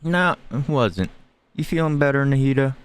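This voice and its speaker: A man's voice: medium pitch, normal speed, background noise. A man doing casual gameplay commentary as a streamer, hesitant and thinking aloud. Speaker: video game streamer. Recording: faint game music behind.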